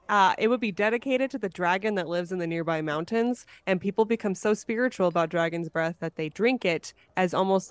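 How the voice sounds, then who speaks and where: A woman's voice: fairly low pitch, smooth, no echo. A woman hosting a casual podcast chat, thinking aloud informally. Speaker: podcast host.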